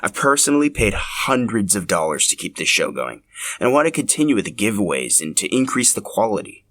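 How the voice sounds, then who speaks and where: Low-voiced man hosting a podcast. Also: big pitch swings, brisk, fairly bright tone. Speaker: podcast host. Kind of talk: scripted podcast narration.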